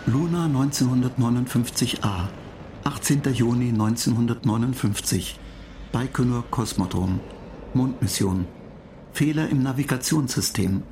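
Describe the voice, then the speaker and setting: An actor in a German radio play voicing a cool, superior computer mind, reciting in a detached, measured way. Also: male voice, medium pitch, background noise, natural voice. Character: cool, superior computer mind lecturing human space travellers. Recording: music behind the voice.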